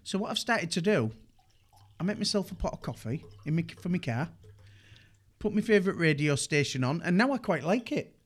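A man's voice: sits high, very animated, a little fast. A man co-hosting a podcast, laid-back and colloquial, stumbling over the starts of his sentences. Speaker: podcast co-host. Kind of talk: casual chat.

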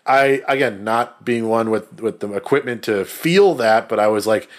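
A man's voice: fairly low pitch, normal speed. A man co-hosting a podcast, recapping a film in casual, joking, sweary talk. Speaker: podcast co-host.

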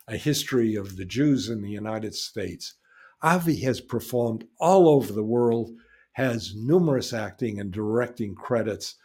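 A man's voice: on the low side, a little mellow, husky. A male podcast host reading a formal, scripted introduction of his guest, then asking questions. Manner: formal, announcer-like delivery.

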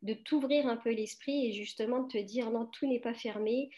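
Brief: middle-aged woman, slightly high voice, halting chat full of fillers. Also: warm tone. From France. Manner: hesitant, many fillers and restarts. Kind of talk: casual conversation.

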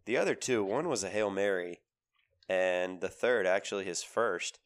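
A man in his thirties with a cold, co-hosting a casual sports podcast, talking in an animated, emphatic way. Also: low-pitched, moderate pace, clean tone. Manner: animated, emphatic with repeated phrases. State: has a cold, voice lower than usual. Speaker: podcast co-host.

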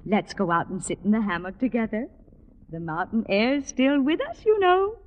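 An actress playing a sweet middle-aged wife with a soothing, coaxing manner in an old-time radio comedy. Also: female voice, a little high, animated, moderate pace, natural voice. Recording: dull old radio recording, hollow reverb.

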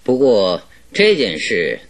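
Teasing detective, self-assured, evasive, radio drama, husky, lively intonation. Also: male voice, on the low side, exaggerated voice. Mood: amused, confident. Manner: chuckling, teasing, unhurried and evasive. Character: self-assured, secretive, amused male detective.

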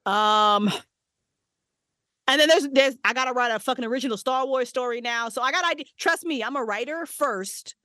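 A woman voice actress and writer, a podcast guest, talking excitedly and swearing freely about her projects. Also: high voice, normal speed, no echo, clean studio sound. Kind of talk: casual podcast interview. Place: small handmade vocal booth.

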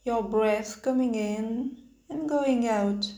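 Slow, high-voiced woman guiding meditation. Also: mellow. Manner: hesitant, halting phrases with long pauses. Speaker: guided meditation instructor. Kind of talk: guided meditation, gentle spoken instructions. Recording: roomy, echoing sound.

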